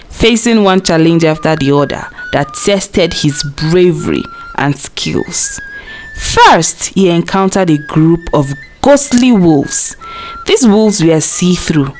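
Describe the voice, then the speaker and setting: A woman's voice: on the low side, darkish tone. A woman storyteller narrating a scripted folktale in a dramatic storybook delivery.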